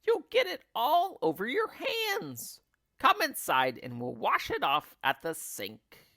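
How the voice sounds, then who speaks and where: A man's voice: extremely high pitch, huge pitch swings, takes it slowly, creaky, bright, forceful tone, no echo, studio quality, pitched above the performer's own voice, exaggerated voice. A man voicing a nasty, hysterical wife from a children's storybook, screechy and scolding. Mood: disgusted.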